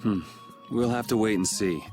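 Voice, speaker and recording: A man's voice: lowish voice, moderate pace, a little mellow, faint music behind, lightly acted. A man playing a cool, laconic young swordsman in a video game, casual and understated. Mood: guarded. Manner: casual, understated, laid-back. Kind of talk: video game cutscene voice acting.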